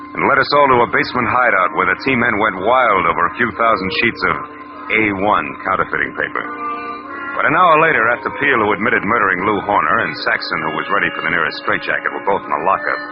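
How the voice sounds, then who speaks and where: A man acting a wry, tough private detective who narrates and plays in a vintage radio noir, punchy and sardonic. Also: low voice. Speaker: lead and narrator. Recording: muffled old radio recording, music behind.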